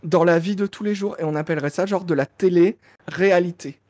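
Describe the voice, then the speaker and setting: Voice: mid-range voice, fairly quick, a little mellow. A player in a tabletop roleplaying session, chatting and laying out plans.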